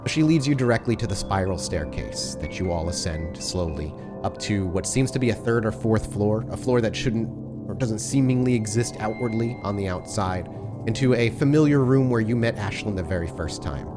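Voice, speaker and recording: A man's voice: lowish voice, moderate pace, over music. A man working as game master, improvising descriptive narration for a tabletop horror role-playing game.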